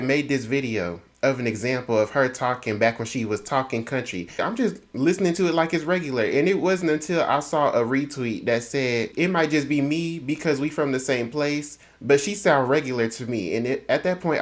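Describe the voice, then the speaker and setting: A man with a Southern American accent hosting a casual book discussion, telling stories in a relaxed, conversational way. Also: medium pitch, moderate pace, few pauses. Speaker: host.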